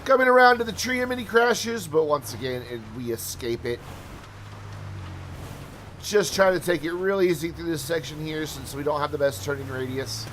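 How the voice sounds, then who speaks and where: A man's voice: on the high side, moderate pace, fairly bright tone, slight background noise. A man talking casually over gameplay footage as a channel host, chatty and full of asides, his voice tired from hours of talking. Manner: chatty, rambling asides, self-deprecating.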